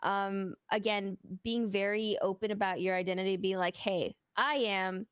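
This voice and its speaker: A woman's voice: medium pitch, steady tone, normal speed, clear, resonant tone, dry recording, studio quality. A woman from Mexico, a streamer guest in a casual interview, talking openly and rambling with many fillers.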